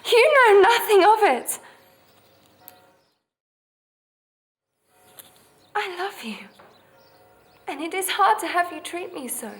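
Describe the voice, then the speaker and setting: A woman's voice: extremely high pitch, big pitch swings, moderate pace. A woman playing a young, coquettish and indignant lady in a dramatized memoir, with emphatic, haughty period speech. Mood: wounded, tearful.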